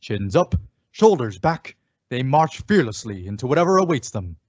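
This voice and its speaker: A man's voice: mid-range voice, big pitch swings, normal speed, slight background noise, lightly acted. A man playing a theatrical, wry old-time radio announcer and narrator. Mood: wry, dramatic. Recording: dull lo-fi recording.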